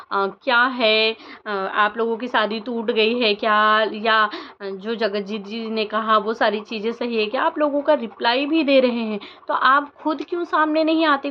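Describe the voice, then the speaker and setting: Earnest young woman asking rhetorical questions. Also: fairly high pitch. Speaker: vlogger. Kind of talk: emotional commentary to camera. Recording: dull lo-fi sound with faint background noise.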